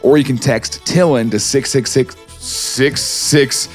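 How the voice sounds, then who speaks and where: A man with a rough voice co-hosting a podcast, making wry quips and signing off the show. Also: medium pitch, steady tone, takes it slowly, soft background music. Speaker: podcast co-host. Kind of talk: casual chat and show sign-off.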